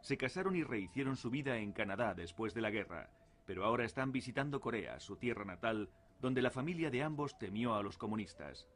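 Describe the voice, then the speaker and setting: A male documentary narrator with a steady, measured delivery. He reads a scripted voice-over at an even, unhurried pace, sounding like a polished studio read. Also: medium pitch.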